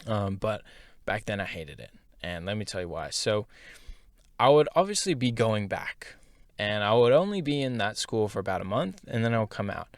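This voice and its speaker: A young man tells his own story in a loose, candid monologue, dropping slang and filler words as he goes. His voice sits a bit low. The sound is dry and close, with almost no room echo. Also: big pitch swings, moderate pace. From half Japanese, schooled in Singapore.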